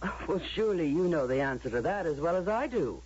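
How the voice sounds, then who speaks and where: An actress playing a coldly patronizing woman of authority in a radio drama, deliberate and probing, on a muffled old broadcast. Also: fairly low pitch, lively intonation, darkish tone, slightly rough. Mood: grave, menacing. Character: coldly patronizing female authority of a future society.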